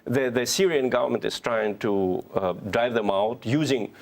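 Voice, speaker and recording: A man's voice: mid-range voice, normal speed, creaky. A man from Russia with a Russian accent, speaking as a sardonic, argumentative commentator in a political panel discussion.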